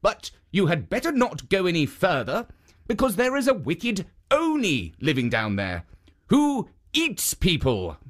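A storyteller playing a kindly stone statue spirit from a folk tale, speaking in a lifted, gentle voice. The guardian warns slowly and earnestly, each phrase rising and falling. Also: medium pitch, huge pitch swings, voice pushed up. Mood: warning.

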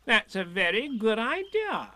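A man's voice: sits high, sing-song, unhurried, bright, forceful tone, pitched above the performer's own voice, exaggerated voice. A man voicing a bossy male rabbit in a storybook, giving clipped, commanding instructions.

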